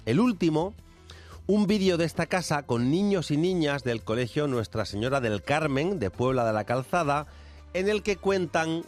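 A man's voice: a little high, expressive, moderate pace, faint music behind. A man from Extremadura with a Spanish accent, hosting radio with a reflective monologue and chat. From Extremadura, Spain. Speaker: radio host.

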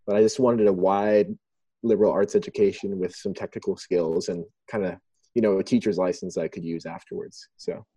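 A man's voice: deep voice, steady tone, fairly quick, dark tone, breathy edge, no echo. A young man from Thailand, a student guest, answering interview questions over a remote call in a thoughtful, rambling, hesitant way. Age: young adult.

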